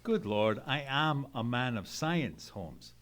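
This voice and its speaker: An actor playing a loyal, sceptical Victorian man of science in an old-time radio mystery play, speaking in an earnest, measured, formal manner. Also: mid-range voice, sing-song, a bit bright. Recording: noisy live theatre stage recording.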